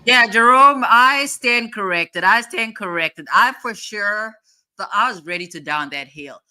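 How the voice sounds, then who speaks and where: A woman's voice: medium pitch, expressive, unhurried, pushed and bright. A woman hosting a casual live chat, drifting distractedly between reading viewer comments and replying to them. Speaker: livestream host.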